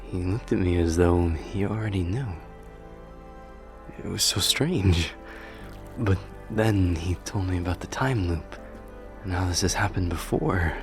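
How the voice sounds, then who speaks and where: A man's voice: low-pitched, measured pace, music in the background. A man playing a courteous, reflective warrior king in an ASMR audio roleplay, speaking formally and politely with some hesitation. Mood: pensive, uneasy.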